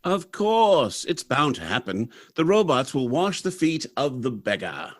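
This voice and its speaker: A man playing a confident, jovial, gentlemanly factory director in a 1930s-style radio drama. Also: medium pitch, big pitch swings, measured pace. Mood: idealistic. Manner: amused, self-assured, gentlemanly.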